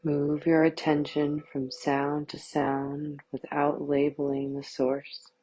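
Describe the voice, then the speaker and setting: A woman guiding a yoga nidra meditation with calm, soothing, measured scripted instructions. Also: deep voice, slightly rough.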